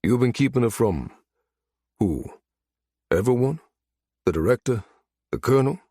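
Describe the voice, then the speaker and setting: A man's voice: expressive, moderate pace, mellow, dry recording, studio quality. A man narrating an audiobook, voicing a big, perceptive Marine who speaks in a knowing, probing way. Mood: knowing, pressing. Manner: low-voiced, knowing, probing. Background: music behind the voice.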